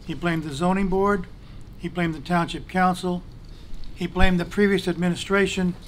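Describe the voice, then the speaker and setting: A man's voice: sits high, fairly even intonation, slight background noise. A man reading a prepared, pointed statement at a council meeting in a large chamber, into a desk microphone. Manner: measured, pointed criticism, formal. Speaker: council president.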